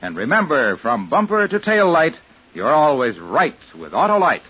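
An American man delivering a scripted, hearty sponsor pitch as a 1950s radio announcer. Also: sing-song, normal speed, slight background noise. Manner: hearty, salesman-like. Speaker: commercial announcer. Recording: muffled 1950s radio recording.

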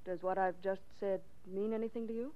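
An actress playing a defensive, cagey widow in a 1940s radio drama, with a pointed, dramatic delivery. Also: female voice, medium pitch, steady tone, brisk, lightly acted. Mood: cagey, insinuating. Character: defensive, cagey, bitter widow of a murdered man. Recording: dull, lo-fi 1940s radio recording.

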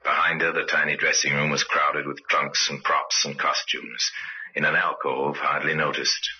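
An actor playing a grave storyteller, narrating an old-time radio mystery on a dull old recording. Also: male voice, rumbling low, level tone, normal speed, pushed and bright, slightly rough, heavily acted. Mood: grave, suspenseful. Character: grave male storyteller of a crime tale.

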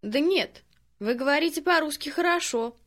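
High-voiced woman speaking slow model phrases. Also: lively intonation, fairly bright tone. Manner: clear, deliberate enunciation. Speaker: native speaker in language drills.

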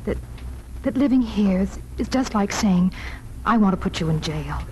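An actress playing a sincere, conflicted young schoolteacher in a 1940s radio drama. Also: female voice, medium pitch, lively intonation, moderate pace, darkish tone, natural voice. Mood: pleading, troubled. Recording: noisy old 1940s radio recording.

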